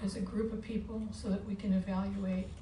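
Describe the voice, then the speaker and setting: Woman, candidate at a forum; mellow, even delivery, halting, recorded at a distance. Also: medium pitch. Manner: halting, repeats words. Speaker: school board candidate at a forum. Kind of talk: unscripted public forum answer. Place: meeting board room. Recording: echoey board room, distant mic, background noise.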